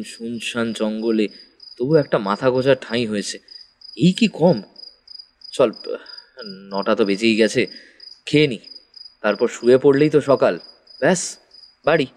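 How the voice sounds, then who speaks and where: A man's voice: lowish voice, close to an everyday voice. A man playing a polite, practical young man who placates others in an audio horror story.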